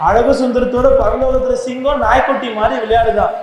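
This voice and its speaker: A children's Bible class teacher who calls out loud, animated questions to a group of kids at a church Bible school. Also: mid-range voice, a little fast, slight background noise. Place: inside a church hall, with a crowd of children. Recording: very echoey church hall with long reverb and a children's crowd.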